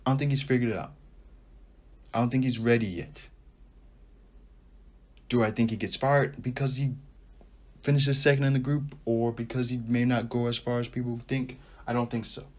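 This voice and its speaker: A young man hosting a sports podcast, talking casually and rambling with animated asides as he thinks out loud. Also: mid-range voice, moderate pace, pauses often. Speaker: podcast host. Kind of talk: casual sports talk monologue.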